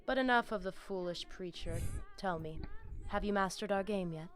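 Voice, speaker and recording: An actress playing a diplomatic, scheming vampire pack leader in a video game, speaking in a measured, formal and controlled way. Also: female voice, mid-range voice, lightly acted. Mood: sly.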